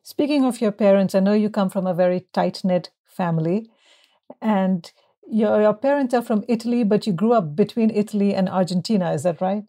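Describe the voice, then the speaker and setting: A woman with a warm, mellow voice hosts an interview. She asks prompting questions that recap what her guest has said before. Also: medium pitch, brisk.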